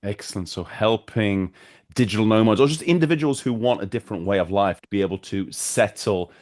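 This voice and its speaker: A man hosting a podcast interview, affable, with brief encouraging replies and quick run-on questions. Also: on the low side, animated, darkish tone. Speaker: podcast host.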